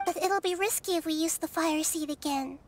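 A woman voicing a gentle, wise, childlike young goddess in an anime game, soft and thoughtful. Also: extremely high pitch, brisk, a little hiss or hum. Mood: concerned. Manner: thoughtful, calm, articulate. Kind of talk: scripted anime game dialogue.

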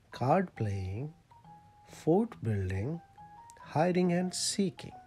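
A storyteller reading a picture book aloud to children in an expressive, playful way with dramatic emphasis. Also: mid-range voice. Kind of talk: animated picture-book read-aloud for kids.

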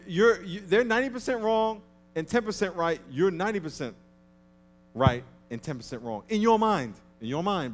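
A man in his thirties preaching a sermon on a large church stage into a headset mic, lively and acting out people's grudges. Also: high-pitched. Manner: animated, acts out petty grudges in a mocking voice. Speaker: pastor.

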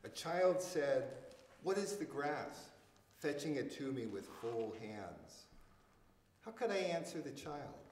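Slow-reading older man in echoey hall. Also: on the high side, very animated, darkish tone. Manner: deliberate, measured phrasing. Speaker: poet reading at a public reading. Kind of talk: poetry recitation. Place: indoors in a church hall.

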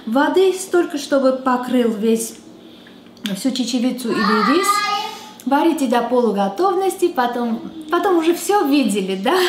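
A woman's voice: high-pitched, slight background noise. A woman in her thirties, a native Armenian speaker, hosting a casual cooking demonstration in her home kitchen with a chatty, playful manner. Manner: chatty, playful, slow and unhurried. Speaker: cooking show host. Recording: echoey kitchen with loud music bed.

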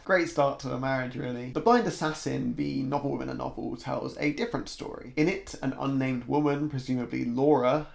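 A man in his twenties with a slightly croaky voice, discussing a book to camera in a casual, semi-scripted way at home. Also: mid-range voice. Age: 20s. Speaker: book reviewer, video host. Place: small room at home, indoors. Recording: echoey untreated room.

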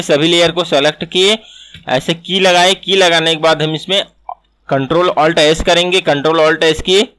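A man with a slightly high voice narrates a software tutorial. He explains step by step, repeating each instruction as he goes. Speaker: animation tutor. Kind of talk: screen-recorded software tutorial.

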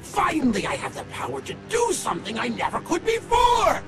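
An actor voicing a short, megalomaniac evil dark sorcerer in a video game, shrill, pompous and taunting. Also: male voice, huge pitch swings, fairly quick, music in the background, full character voice. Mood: triumphant menace. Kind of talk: over-the-top cartoon villain acting.

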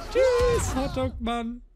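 A young man giving casual, goofy commentary over a game and singing silly rhymes. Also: extremely high pitch. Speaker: let's play host.

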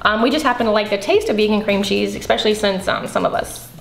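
A woman's voice: mid-range voice, fast talker, vocal fry, reverberant. A young woman hosting a casual cooking video, chatting and explaining each step as she cooks in a large home kitchen. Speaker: host.

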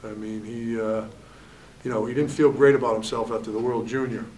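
A man's voice: mid-range voice, fairly even intonation, a little fast, a little mellow, recorded from a distance, a little hiss or hum, poor audio. A man in his forties, a head hockey coach, answering questions candidly at a postgame press conference and often saying "you know". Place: press room at a backdrop. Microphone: table mic, at a distance.

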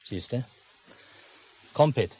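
A man's voice: very slow, dark tone. An actor playing a stubborn, unruffled village family man and narrator in a 1950s German radio drama. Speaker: radio play lead and narrator. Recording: noisy mono 1950s radio recording.

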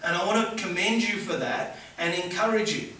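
A middle-aged man delivers a sermon in a small church, leaning into key words with earnest emphasis. His voice sits fairly high. The camera is far back in a very echoey room, with a faint hiss over everything. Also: lively intonation, a little fast, pushed and bright. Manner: earnest preaching with rising emphasis. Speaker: pastor. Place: small church hall with congregation.

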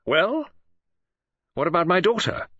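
Man doing a frantic middle-aged father, high strained voice, loud and urgent, husky, dry dull sound. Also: big pitch swings, measured pace, no room sound, heavily acted. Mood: agitated. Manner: agitated, urgent, raised voice. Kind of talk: audiobook character voice.

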